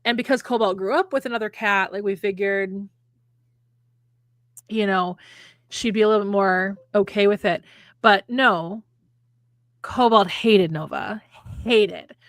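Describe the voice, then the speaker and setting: A woman's voice: mid-range voice, unhurried, long pauses, no room sound, studio quality. An American woman, a podcast co-host, chatting casually and telling funny stories with frequent laughter. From United States. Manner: chatty storyteller, laughs often. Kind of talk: casual chat between friends.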